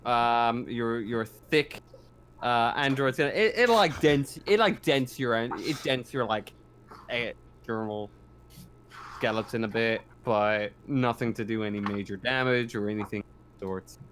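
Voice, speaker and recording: A man acts as game master with a medium-pitched voice. He improvises in halting, repetitive phrases, explaining rules and describing action. A faint noise sits under the recording. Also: a bit bright. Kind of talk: improvised tabletop roleplaying narration and rules talk.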